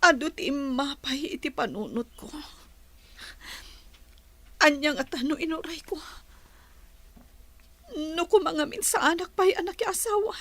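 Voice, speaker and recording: A woman's voice: high voice, a little slow, long pauses, fairly bright tone, no echo. An actress with a northern Philippine accent playing a troubled young married woman, wistful and emotional, in radio melodrama style. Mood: troubled, regretful. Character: troubled, regretful young married woman torn by old love.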